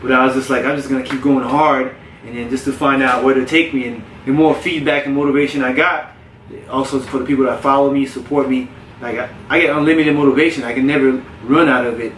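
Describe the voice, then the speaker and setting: Man, personal trainer, candid storyteller, mid pitch, faint background ambience. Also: fast, slight background noise. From South Florida. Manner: relaxed, candid storytelling with frequent restarts. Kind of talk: casual podcast interview. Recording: roomy, distant mic with faint ambience.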